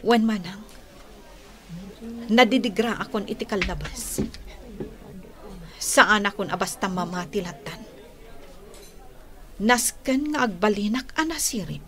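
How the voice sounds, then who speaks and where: A woman's voice: mid-range voice, unhurried, pauses often, fairly bright tone, close to an everyday voice. A woman with an Ilocano Filipino accent playing a guarded, troubled young lady in a radio drama. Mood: troubled, resolute. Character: guarded young lady, wary and troubled over her beloved.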